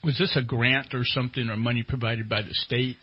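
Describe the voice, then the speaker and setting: Husky-voiced male radio host. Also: medium pitch, steady tone, a little fast. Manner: plain-spoken, questioning. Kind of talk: casual interview chat. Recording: dull, lo-fi radio interview audio.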